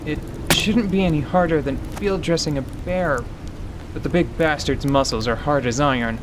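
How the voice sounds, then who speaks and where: Man playing rough-edged, self-doubting adventurer over rainy ambience. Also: a little high, moderate pace, background noise, natural voice. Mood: weary. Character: gruff, self-doubting male adventurer and hunter. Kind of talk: fantasy radio drama acting. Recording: steady rain and stream ambience behind.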